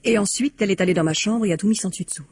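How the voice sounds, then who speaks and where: An actress playing a dramatic wife in an old-fashioned radio mystery, with a breathy, theatrical way of talking. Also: female voice, mid-range voice, racing, darkish tone, lightly acted. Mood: cold, scornful. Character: dramatic young wife, frightened then bitterly scornful.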